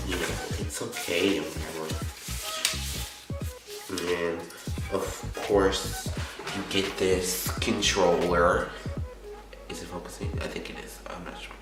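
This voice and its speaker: A sick teenage boy with a scratchy throat, talking in a deep, slow ramble. He sits far from the camera in a very echoey room. Music plays clearly behind him. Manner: chatty, rambling, full of likes and you-knows. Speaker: vlogger. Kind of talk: rambling casual unboxing chat. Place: indoor room. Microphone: camera set up some distance away.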